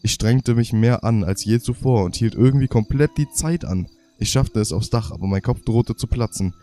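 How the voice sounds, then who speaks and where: A man's voice: medium pitch, normal speed, dark tone. A young man with a cold and a half-blocked nose, reading game diary pages aloud for his let's play and stumbling over words. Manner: stumbling, self-correcting reading. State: congested with a cold.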